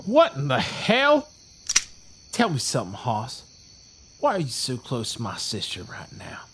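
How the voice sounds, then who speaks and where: A man's voice: medium pitch, wildly swooping pitch, unhurried, noisy background. An actor playing a hot-tempered, profane outlaw who threatens his hostages. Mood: menacing. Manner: threatening, profane, bossy drawl. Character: menacing Southern outlaw man, hot-tempered kidnapper. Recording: background music under the dialogue.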